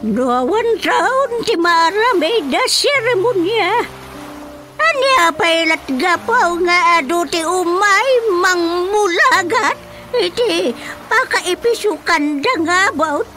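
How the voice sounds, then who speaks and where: A woman's voice: very high-pitched, expressive, takes it slowly, heavily acted. A woman playing a chatty mother with a third eye in a radio comedy, in a sing-song, dramatic way of talking. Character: middle-aged mother who sees with a third eye. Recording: music under the dialogue.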